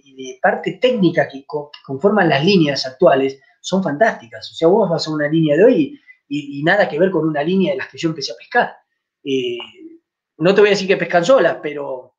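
Argentine man, 40s, stream host, rambling filler-heavy chat, muffled lo-fi webcam sound. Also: fairly high pitch. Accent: Argentine Spanish. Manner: chatty, many fillers and restarts. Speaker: fly fishing channel host. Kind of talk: live stream Q&A. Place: home room, indoors. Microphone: webcam at desk.

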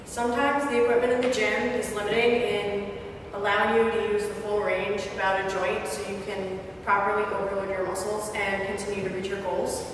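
A young woman, a fitness trainer, calmly explaining exercise technique as she demonstrates. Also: mid-range voice, brisk, background noise. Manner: clear, steady coaching while demonstrating exercises. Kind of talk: instructional demonstration. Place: large gym with hard walls. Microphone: far camera. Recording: echoey gym from far off.

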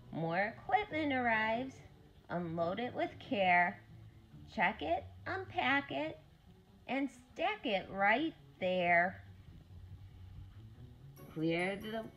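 A woman with a high voice reads a rhyming children's book aloud. Her pitch moves widely and her pace is unhurried, each phrase set out deliberately for young listeners. Music plays behind her. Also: a bit bright. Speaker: children's story-time reader. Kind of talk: animated read-aloud of a rhyming picture book.

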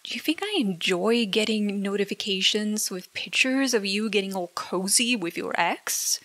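A woman playing a jealous, hurt girlfriend in an ASMR roleplay argument, halting and exasperated, with sarcastic edges. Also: mid-range voice, a bit bright, dry recording, close to an everyday voice. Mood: sarcastic, upset. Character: jealous, hurt young girlfriend arguing with partner. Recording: dry close mic, rain behind. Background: rain.

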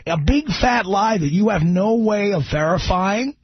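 A man's voice: high voice, animated, measured pace. A man hosting a call-in radio talk show, blunt and insistent as he argues his point. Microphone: studio mic. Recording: muffled dry radio broadcast.

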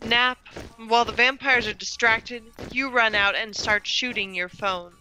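A woman's voice: a little high, steady tone, unhurried, pushed and bright, poor audio. A woman playing a determined young sister in an audio drama, commanding and direct, over music.

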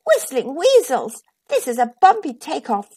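A woman voicing an earnest, excitable male scarecrow who longs to fly, in a storybook reading. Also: high-pitched, measured pace, no echo, full character voice. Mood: alarmed. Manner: exclamatory, earnest. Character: longing, excitable male scarecrow dreaming of flight.

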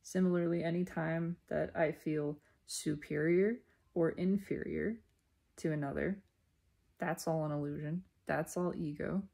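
Female solo speaker for introspective spiritual musing; lowish pitch, very unhurried delivery, deliberate pauses between short phrases. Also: fairly even intonation, vocal fry, warm tone. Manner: deliberate, pausing mid-sentence in short phrases. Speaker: spiritual self-reflection vlogger. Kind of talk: slow reflective spiritual monologue.

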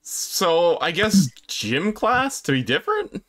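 A man's voice: high voice, very animated, takes it slowly, a bit bright, no room sound. A man reacting casually to a game during a playthrough with friends.

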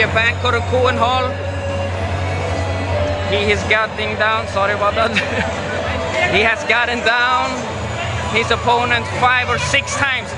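A man with Khmer as his first language, calling a kickboxing fight ringside in English, shouty and excitable, with small apologetic asides. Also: high-pitched. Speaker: ringside commentator. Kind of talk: excited live fight commentary. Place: crowded arena ringside. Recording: loud crowd noise in big hall. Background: roaring crowd.

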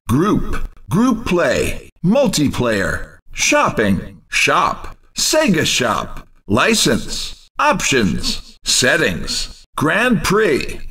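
An actor playing an excitable, teasing racing game commentator, delivering short theatrical announcer calls. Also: male voice, on the high side, slightly rough, distant mic, exaggerated voice. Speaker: video game race commentator.

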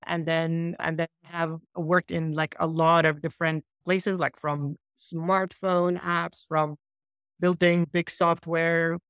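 A man in his forties from Iraq, a software founder, answering interview questions on a laptop video call in a small room, thoughtful and loose with restarts. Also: a little high, little pitch movement, takes it slowly, clean tone, dry recording, studio quality. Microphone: laptop mic on a video call.

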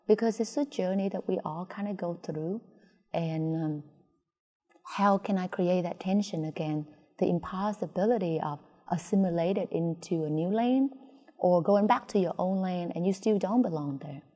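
A woman with a Vietnamese accent giving reflective interview answers as an artist, thoughtful and halting. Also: fairly low pitch, dark tone. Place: large indoor museum gallery. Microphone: lapel. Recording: reverberant gallery room.

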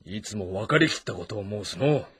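An actor playing an impatient, imperious warlord of ancient China, curt and commanding, in an audio drama. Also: male voice, lowish voice, lively intonation, brisk, a bit bright. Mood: irritable. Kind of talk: audio drama dialogue, period commanding speech. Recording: voice over background music.

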